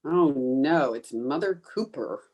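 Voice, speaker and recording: A woman's voice: rumbling low, expressive, takes it slowly, a little mellow, husky, muffled recording, exaggerated voice. A woman playing a scatterbrained, wisecracking 1950s housewife in an old-time radio sitcom, with dry sarcasm and comic exasperation. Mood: sarcastic, panicky. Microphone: home microphone over video call.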